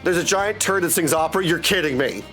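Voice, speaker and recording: A high-voiced man hosts a game livestream over soft music. He talks casually to his viewers, jumping from one reply to the next and leaning on catchphrases. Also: fast. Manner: chatty, hops between chat replies, repeats catchphrases. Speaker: livestream host playing a video game; voice actor and audiobook narrator. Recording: music playing behind the voice.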